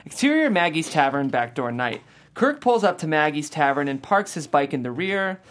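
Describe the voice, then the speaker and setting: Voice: mid-range voice, big pitch swings, moderate pace. A narrator reading scripted stage directions at a comedy table read.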